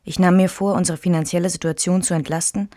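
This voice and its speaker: A woman acting as a self-assured member of the Beat circle, recalling her past in measured, intimate radio play narration. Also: lowish voice, fairly even intonation, warm tone, no echo, studio quality, lightly acted. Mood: reflective.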